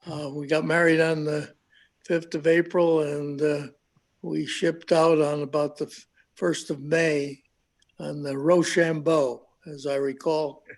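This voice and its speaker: Man in his nineties, halting, many pauses, a touch high-pitched. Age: 90s. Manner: halting, searching for words with many uhs. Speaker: WWII veteran guest. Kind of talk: live video conversation.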